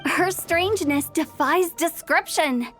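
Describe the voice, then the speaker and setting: A woman's voice: very high-pitched, takes it slowly. A woman voicing a suspicious, inquisitive young friend in a video game, with a wry, probing manner. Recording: faint music behind the voice.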